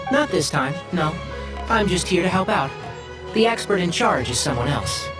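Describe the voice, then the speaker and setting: A man playing a brisk, responsible young expert in a video game, explaining things politely. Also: high voice, sing-song. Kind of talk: video game character acting. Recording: music behind the voice.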